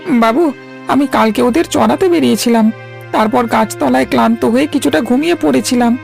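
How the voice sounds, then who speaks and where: Woman doing a high, croaky, humble goatherd. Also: fairly quick, a little mellow, soft background music. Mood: anxious, apologetic. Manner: pleading, deferential. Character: apologetic servant goatherd, humble and anxious. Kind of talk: animated story acting.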